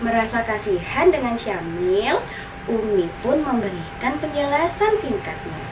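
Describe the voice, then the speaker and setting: Female children's storyteller, scripted and expressive, unhurried delivery. Roomy, noisy, distant recording. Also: fairly high pitch.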